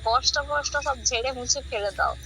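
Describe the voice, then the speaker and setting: A woman's voice: high-pitched, normal speed. A young woman chatting casually on the phone in a coy, evasive way. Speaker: prank call recipient. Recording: phone call with noticeable background noise.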